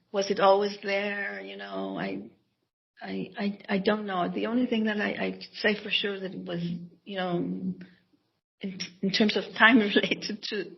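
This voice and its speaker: Husky, gravelly woman, Hebrew native. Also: medium pitch, dull audio. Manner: hesitant, reflective, many restarts and soft laughs. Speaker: author. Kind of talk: interview answers.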